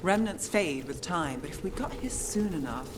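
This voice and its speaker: A woman's voice: sits low, lively intonation, normal speed, background noise. Dry, weary female detective, rainy backdrop. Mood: focused, businesslike. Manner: dry, understated wit. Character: weary, wry, guarded young female detective in a fantasy city. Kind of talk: video game voice acting.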